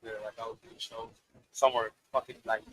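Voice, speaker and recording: A man with a deep voice, a rapper guest talking loosely in a casual interview, laughing and swearing freely. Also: flat delivery, fast, fairly bright tone, a little hiss or hum, poor audio.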